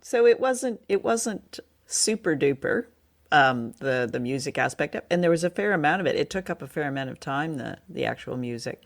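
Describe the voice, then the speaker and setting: A woman's voice: sits low, expressive, moderate pace, gravelly. A woman co-hosting a podcast, chatting casually through a review and often searching for her words. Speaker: podcast co-host.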